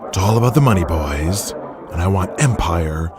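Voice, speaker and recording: Foul-mouthed, commanding promotion boss, deep register, audio drama with music bed. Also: male voice, lively intonation, moderate pace. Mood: firm, businesslike. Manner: blunt, commanding. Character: hard-nosed, foul-mouthed male wrestling promotion boss.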